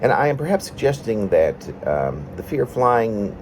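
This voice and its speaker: A man from Arkansas, a poet presenting and reading his own autobiographical work in an unhurried, personal way. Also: on the low side, big pitch swings, slight background noise. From Arkansas, United States. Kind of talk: casual introduction, then reading aloud autobiographical prose poem.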